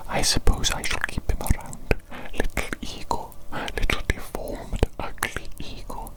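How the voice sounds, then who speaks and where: A woman voicing a pompous, exasperated evil genius doctor in an ASMR roleplay, in a breathy whisper with fussy, repeated phrases. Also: a little slow, close to an everyday voice. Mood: boastful, grumbling. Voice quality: breathy unvoiced whisper with creaky edges.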